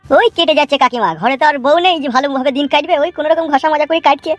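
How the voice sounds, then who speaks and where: A man's voice: very high-pitched, fairly even intonation, rapid-fire, dry recording. A smitten young cartoon suitor with a Bengali accent played by a man. He talks at breakneck speed, eager, wheedling and boastful. Music sits behind the voice. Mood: polite, eager. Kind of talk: comedy cartoon dialogue.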